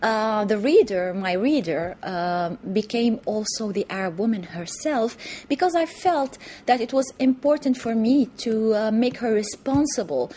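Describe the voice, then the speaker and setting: A woman from Lebanon, answering interview questions thoughtfully, with many pauses, fillers and restarts, in a TV studio. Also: fairly high pitch, animated, dark tone, slight background noise. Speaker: interview guest, author.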